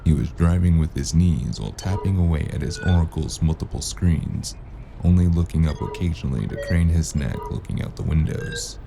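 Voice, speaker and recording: A man playing a weary, gruff detective in a noir audio drama, speaking in a dry, terse, gravelly way. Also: very deep voice, normal speed, noisy background. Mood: brooding narration. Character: weary gruff male noir detective narrating his cyberpunk case. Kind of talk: hard-boiled noir audio drama narration and dialogue.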